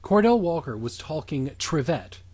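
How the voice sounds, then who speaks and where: A man with a husky, slightly high voice co-hosts a comedy podcast. His delivery is lively and full of pitch swings as he reads fan fiction aloud. He reacts with incredulous swearing and frequent laughter. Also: normal speed, no room sound. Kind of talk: comedic reading with banter.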